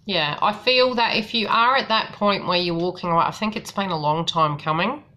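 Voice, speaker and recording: A woman from New Zealand with a softened New Zealand accent, chatting casually as a psychic tarot reader on a live stream. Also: fairly low pitch, normal speed. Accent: New Zealand, softened by Australian.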